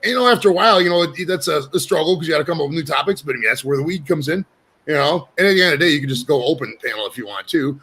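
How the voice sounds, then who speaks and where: Fast-talking brash man hosting a podcast. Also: a little high, fairly bright tone, husky. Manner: brash, rambling, swears freely. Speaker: podcast host. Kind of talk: casual chat. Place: home studio.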